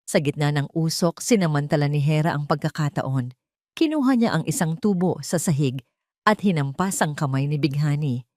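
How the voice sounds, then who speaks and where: A female storyteller with a low voice delivers scripted drama narration. She builds suspense dramatically, and the recording is dry with no room sound. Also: animated, moderate pace, a little mellow, no room sound.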